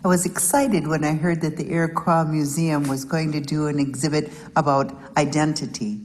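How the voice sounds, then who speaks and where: A middle-aged woman, a beadwork artist, giving a measured, prepared narration about her work. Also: low voice, fairly even intonation, in a large hall, a little hiss or hum. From Wisconsin, USA.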